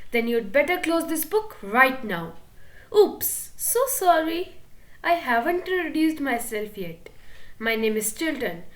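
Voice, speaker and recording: A woman reading a children's book aloud in an expressive, playful storytelling manner. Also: high-pitched, reverberant. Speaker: reader.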